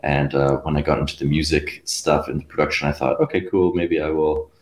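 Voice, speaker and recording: A man's voice: very deep voice, steady tone, brisk. A young man from Canada, a guest musician chatting casually in an interview, rambling with lots of fillers and laughing between phrases. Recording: roomy echoey room.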